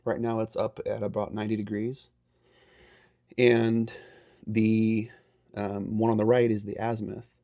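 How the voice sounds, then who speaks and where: A man with a fairly low voice talks through a technical setup in a casual, explanatory style. He is plain-spoken and matter-of-fact, going step by step like a hobbyist radio operator giving a tour. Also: level tone, measured pace, pauses often, mellow.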